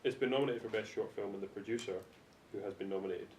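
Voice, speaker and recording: Very fast-talking man, far from the mic. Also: a little mellow. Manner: blunt, sweary. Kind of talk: casual group chat. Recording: distant, echoey amateur podcast with faint rumble.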